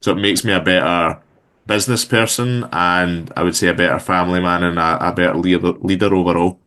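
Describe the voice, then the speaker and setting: A man in his thirties with a Glaswegian Scottish accent talks as a guest on an interview. His voice sits very low. He rambles easily and fills his pauses with ums and uhs. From Scotland. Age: 30s. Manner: relaxed, rambling with many fillers. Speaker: guest entrepreneur. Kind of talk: casual interview chat.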